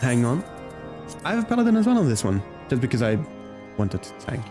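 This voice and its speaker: A man streams a game with music clearly playing behind him. He talks in a casual, friendly way straight to his chat and thinks aloud as he plays. His voice sits at an ordinary male pitch. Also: wildly swooping pitch, a little fast, dark tone. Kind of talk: casual chat and gameplay commentary for viewers.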